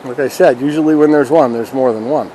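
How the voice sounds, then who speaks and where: A man's voice: mid-range voice, fast, dark tone, rough recording. Folksy man chatting outdoors over river noise. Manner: chatty, folksy, talking while fishing. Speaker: fishing vlog host. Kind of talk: casual outdoor fishing commentary.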